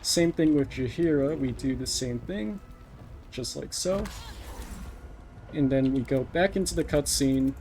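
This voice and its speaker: Slow-paced male gamer commentating. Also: mid-range voice, darkish tone, a little hiss or hum. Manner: explains each step as they play. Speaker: speedrunner, live streamer. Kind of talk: live gameplay commentary, casual.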